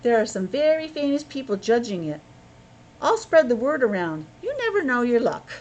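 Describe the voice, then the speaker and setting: A woman's voice: on the high side, very animated, moderate pace, darkish tone, poor audio. A woman voicing a bossy, easily exasperated male construction foreman in an emphatic storybook read-aloud. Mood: friendly.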